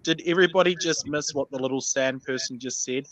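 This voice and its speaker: A man's voice: medium pitch, fairly quick, fairly bright tone, rough recording. A man in a casual group chat, teasing the others with mocking jokes.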